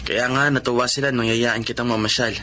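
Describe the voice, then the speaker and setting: An actor playing a polite, level-headed young bachelor in a Filipino melodrama, speaking frankly and courteously. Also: male voice, medium pitch, fairly even intonation, fairly quick, bright, forceful tone, lightly acted. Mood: frank, confiding. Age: young adult.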